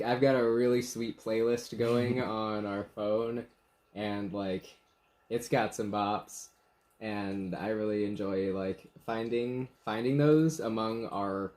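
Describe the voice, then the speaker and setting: A relaxed young man joking and chuckling through a casual get-to-know-you chat in a small bedroom. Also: lowish voice, unhurried. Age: young adult. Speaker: channel co-host.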